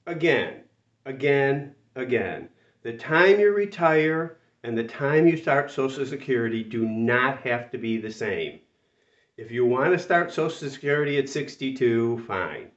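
An older man in his sixties talks straight to the camera, slightly nasal from a cold and plainly exasperated, hammering his points with repetition. He sits a few feet from the mic in a small room, so the sound is roomy, distant and a little dull. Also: mid-range voice. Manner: exasperated, emphatic, repeats words for stress. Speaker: retirement channel host. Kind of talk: talking-to-camera commentary. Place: small room with a whiteboard, indoors.

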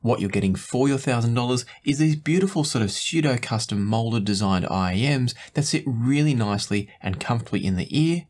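A man in his forties presenting a product review to camera in a measured, explanatory way. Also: mid-range voice, animated, a little mellow. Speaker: host, audio gear reviewer. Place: small indoor home studio room.

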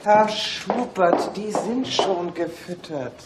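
An actress playing a polite, businesslike police detective in a radio play. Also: female voice, medium pitch, takes it slowly, natural voice. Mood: businesslike, distracted. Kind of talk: German radio play acting. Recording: echoey, loud background noise.

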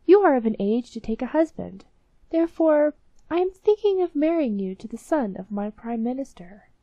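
Woman voicing a stern storybook king, pitched high. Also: sing-song, normal speed, mellow, dry recording, lo-fi sound, full character voice. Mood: insistent. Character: king, a stern father deciding his daughter's marriage. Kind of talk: storybook character voice.

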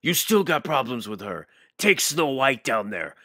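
A man's voice: medium pitch, sing-song, unhurried, bright, forceful tone, no echo, clean studio sound, voice pushed up, full character voice. A man voicing a menacing, domineering old cartel boss who barks orders in a motion comic. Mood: menacing, bullying. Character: menacing, foul-mouthed old cartel boss, contemptuous bully. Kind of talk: motion comic character acting.

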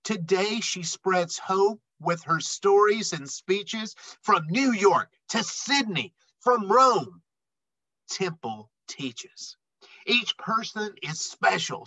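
A middle-aged man reading a children's picture book aloud expressively, with emphatic, dramatic phrasing and drawn-out words. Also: high-pitched, sharp tone, dry recording, poor audio. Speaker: read-aloud storyteller.

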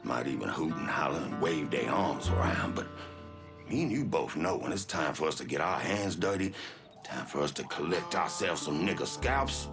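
An actor playing a menacing, bigoted Southern henchman in a video game, with a gravelly, folksy drawl. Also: rumbling low, lively intonation, moderate pace, fairly bright tone, full character voice. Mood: sneering, menacing. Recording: music behind the voice.

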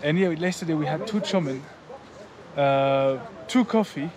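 A man's voice: fairly high pitch, measured pace, crowd noise. Chatty man vlogging with a raised voice. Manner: friendly, chatty, many fillers and "guys" to camera. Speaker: motovlogger host. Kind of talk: casual travel vlog chat. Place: outdoors in a mountain village and a small roadside restaurant.

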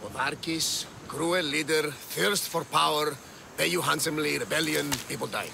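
An actor playing an earnest, dim-witted Greek commoner in a video game, halting and self-important. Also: male voice, on the high side, gravelly, noisy background. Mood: reciting. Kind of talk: video game cutscene acting.

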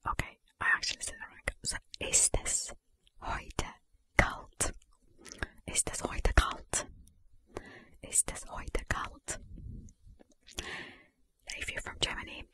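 Woman, ASMR whisper, unvoiced, slow pace, deliberate enunciation, repeated phrases. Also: pushed and bright, no room sound. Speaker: ASMR video creator.

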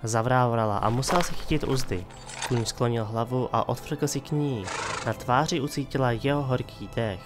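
A man giving scripted dramatic narration of a fantasy story as the narrator of an audio drama. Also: medium pitch. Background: music.

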